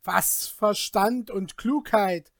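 A reader voicing a reckless young man, newly rich and boastful, talking loudly and in agitation. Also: medium pitch, slow, no room sound, clean studio sound, lightly acted.

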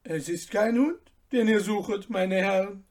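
A reader voicing a sly middle-aged merchant who talks calmly and in fine detail, with a mildly mocking manner, in a storybook reading. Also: mid-range voice, measured pace, lightly acted.

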